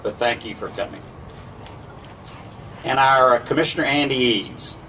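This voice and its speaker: A man of ordinary pitch gives a mayor's public remarks at a ceremony. His phrasing is solemn and deliberate. He is picked up from some distance, with noticeable ambient noise around him. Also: sharp tone.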